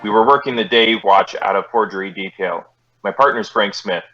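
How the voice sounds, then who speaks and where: Terse police sergeant, deadpan, clipped, low forceful voice, old dull radio recording. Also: male voice, fairly quick, soft background music. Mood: matter-of-fact. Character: terse, businesslike male police detective sergeant. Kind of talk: 1950s radio acting, clipped narration.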